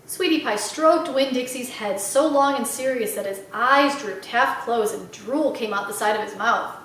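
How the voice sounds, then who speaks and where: A woman reading a children's book aloud as an expressive storyteller. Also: a little high, moderate pace, recorded from a distance, a little hiss or hum. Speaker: library read-aloud storyteller. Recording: very echoey room, away from mic.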